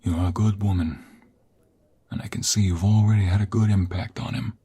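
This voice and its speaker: Ageing mafia patriarch acted by a man for audio roleplay: low, rough husky voice, weary paternal authority. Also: steady tone, moderate pace, dark tone. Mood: weary, measured. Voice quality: husky, gravelly. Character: ageing mobster father, weary, stern but softening. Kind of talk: intimate ASMR audio roleplay acting.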